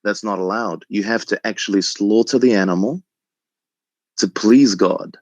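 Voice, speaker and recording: A man in his thirties from Egypt calling in to the show, speaking in a relaxed, earnest way with frequent ums and laughs. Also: on the low side, moderate pace, no room sound. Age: 30s. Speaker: caller. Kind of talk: casual phone call chat.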